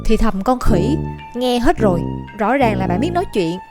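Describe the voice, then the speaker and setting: Woman voicing a whiny cartoon schoolboy, high pitch, southern Vietnamese accent, skeptical, grumbling, exclamatory, clear background music. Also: expressive, normal speed, close to an everyday voice. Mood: accusing. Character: sulky, game-obsessed schoolboy, skeptical and whiny. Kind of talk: animated cartoon dialogue.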